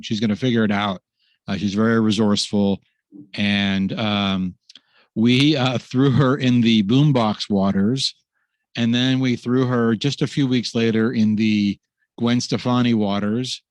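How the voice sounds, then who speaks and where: A man's voice: on the low side, no echo. A man hosting a casual webinar, rambling with many fillers and restarts. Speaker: host.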